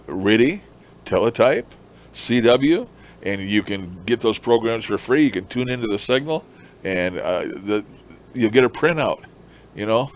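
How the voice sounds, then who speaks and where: A man's voice: lowish voice, dull audio. A man gives a casual, unscripted demonstration as a hobbyist, explaining things and repeating himself. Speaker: amateur radio hobbyist, presenter. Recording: clear steady background noise.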